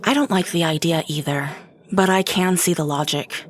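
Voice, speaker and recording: An actress playing a level-headed, devout celestial warrior in a fantasy audio drama, measured and earnest in her reasoning. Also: on the low side, natural voice.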